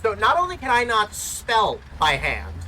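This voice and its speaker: A man's voice: high voice, normal speed, slight background noise, rough recording. A young man chatting casually and jokingly while gaming, swearing freely. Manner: animated, jokey, swears freely. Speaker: gaming streamer.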